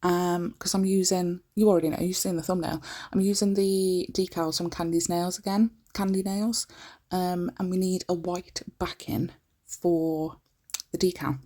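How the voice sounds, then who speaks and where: A woman in her thirties with a croaky, groggy voice, chatting informally through a nail art tutorial. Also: on the low side, flat delivery, a little slow, warm tone. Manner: chatty and informal, breaks off often to correct herself.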